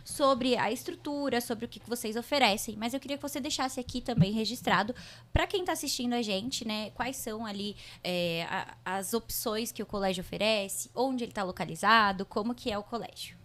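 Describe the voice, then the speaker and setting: A woman hosts a podcast interview in a slightly high voice. She talks in a relaxed, conversational way and often ends her sentences with short question tags. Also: a little fast. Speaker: podcast host. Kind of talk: casual interview.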